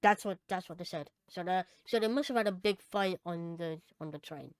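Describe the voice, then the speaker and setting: A woman co-hosting a casual podcast, chatting and reading out news items, somewhat halting when she reads aloud. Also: lowish voice, dry recording, clean studio sound. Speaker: podcast co-host.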